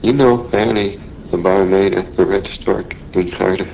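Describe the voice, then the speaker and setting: A weary American sailor on his deathbed in a radio drama. The voice is low and mellow, moving slowly and haltingly, weak with pain and full of wistful fear. A faint noise sits behind it. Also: steady tone, gravelly, low-quality recording. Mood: weak, tender. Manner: halting, labored, brooding delivery.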